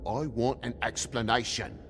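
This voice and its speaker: A man's voice: mid-range voice, fairly even intonation, moderate pace, a bit bright, a little hiss or hum, close to an everyday voice. A man with a British accent voicing a blunt, protective, anxious toad father in a video game. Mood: indignant. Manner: blunt, scolding, emotional.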